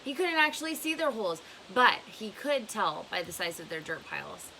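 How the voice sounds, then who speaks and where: A woman's voice: mid-range voice, big pitch swings, normal speed, sharp tone, poor audio. A woman narrating a book aloud in a storytelling style. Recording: faint hiss behind voice.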